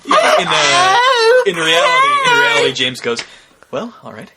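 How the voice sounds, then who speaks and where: A man's voice: very high-pitched, wildly swooping pitch, unhurried, gravelly. A man co-hosting a podcast with casual banter and playful, mock-formal commentary, on a noisy recording. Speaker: podcast co-host. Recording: very noisy with clicks.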